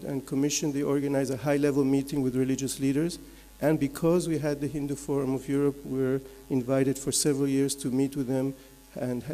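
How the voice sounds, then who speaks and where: A man from Belgium, giving a measured, explanatory slide presentation as a conference speaker, point by point. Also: medium pitch, warm tone. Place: large conference hall. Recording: echoey hall with long reverb.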